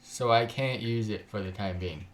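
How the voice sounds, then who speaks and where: A man's voice: on the low side, moderate pace. A man live-streaming a game, chatting casually in a joking, sweary, slangy way and reading text aloud with stumbles.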